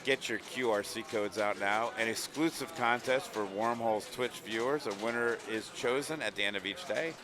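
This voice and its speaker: A man's voice: lowish voice, moderate pace, few pauses. A middle-aged man from Long Island, hosting a live stream in a chatty, upbeat way, reading chat messages and ads. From Long Island, New York. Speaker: stream host. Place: tournament hall. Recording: noisy crowd in the background.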